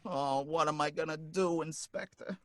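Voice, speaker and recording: A man playing a jittery, high-strung cab driver. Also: steady tone, normal speed, no echo, studio quality, lightly acted. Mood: pleading. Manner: nervous, pleading, stammering, folksy slang. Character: jittery, put-upon male cab driver. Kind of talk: live old-time radio drama.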